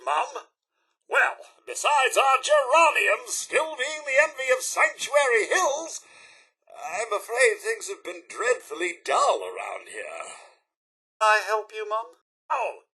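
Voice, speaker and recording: Video game robot butler, British, bright forceful tone, husky edge, formal, overwrought, theatrical. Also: male voice, high-pitched, heavily acted. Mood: polite, chatty, then excited announcing. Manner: formal, theatrical, posh politeness. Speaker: robot servant. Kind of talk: scripted video game character lines.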